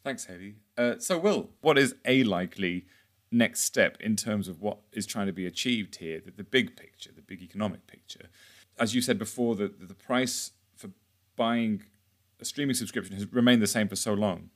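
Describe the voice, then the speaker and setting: Lowish-voiced man hosting a podcast. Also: moderate pace. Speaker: podcast host, interviewer. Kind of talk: conversational interview questioning.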